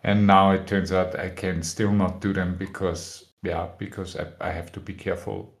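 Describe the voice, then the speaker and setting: Low-voiced man, candid. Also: little pitch movement, moderate pace, gravelly. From Austria. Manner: candid, searching for words, frank. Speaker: guest musician. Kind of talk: casual podcast interview.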